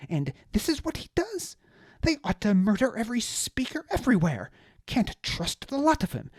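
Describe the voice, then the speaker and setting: A narrator voicing a pompous, petulant man who is easily outraged and talks in shrill, indignant bursts. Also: sing-song, moderate pace, dry recording, studio quality. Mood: ranting.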